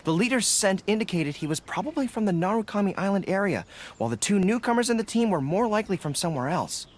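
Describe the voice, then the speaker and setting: An actor playing an earnest, dutiful young army general in an anime video game, speaking formally and with resolve. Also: male voice, high-pitched, lively intonation, fast talker, slight background noise. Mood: earnest, confident. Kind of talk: English-dubbed anime video game acting. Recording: game music behind the voice.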